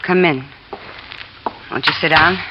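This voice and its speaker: An actress playing a grieving, anxious American steelworker's widow in a radio drama, halting and earnest. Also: female voice, sits low. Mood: subdued, weary. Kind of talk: 1950s radio drama acting. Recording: muffled, faintly noisy old radio recording.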